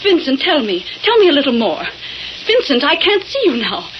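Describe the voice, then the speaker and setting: A woman's voice: sits high, sing-song, moderate pace. A woman playing a grieving, pleading séance client in 1930s radio drama style. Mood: tearful, pleading. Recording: muffled noisy old radio recording.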